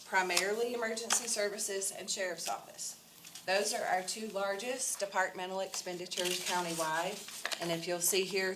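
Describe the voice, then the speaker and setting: A woman walks a council through a county budget, measured and formal, pausing on ums. Her voice sits low and sounds stuffy from a head cold. The meeting-room recording carries a steady background noise. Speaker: county administrator presenting budget. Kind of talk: budget presentation at public workshop. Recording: noisy public meeting recording.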